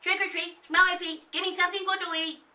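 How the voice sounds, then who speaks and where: Eager, high-pitched little boy. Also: level tone, quick pace, sharp tone, far from the mic, exaggerated voice. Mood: proud, excited. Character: eager, boastful little boy playing superhero. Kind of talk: children's storybook character voice.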